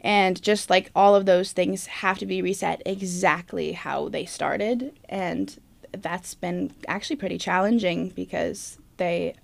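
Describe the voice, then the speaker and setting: A woman's voice: fairly low pitch, unhurried, vocal fry. A young woman, a stage manager, talking casually in an interview about her work.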